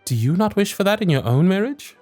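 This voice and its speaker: A man's voice: sits high, very animated, a little fast, darkish tone, dry recording, soft background music. A man playing a proud, teasing young Victorian lord in an ASMR roleplay audio drama, with formal, dry, sardonic period speech. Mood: exasperated.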